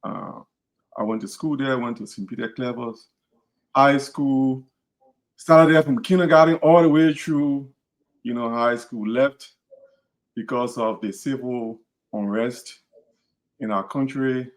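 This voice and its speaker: A man's voice: medium pitch, measured pace, long pauses. A man from Liberia with a Liberian accent, hosting a podcast in an earnest, repetitive, casual way. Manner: earnest, repeats words and restarts phrases. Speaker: podcast host.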